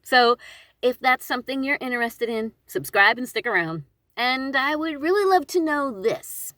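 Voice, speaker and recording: A woman with a slightly high voice that swings freely up and down, recorded close and dry. She talks informally as a narrator giving advice on her own channel. Her delivery is conversational, expressive and emphatic, with self-deprecating asides. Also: normal speed, dry recording. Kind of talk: informal instructional talk to camera.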